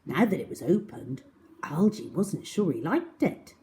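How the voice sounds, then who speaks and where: Female children's storyteller: unhurried, slightly low voice, dramatic and animated read. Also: a little hiss or hum. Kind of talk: expressive scripted story reading for children.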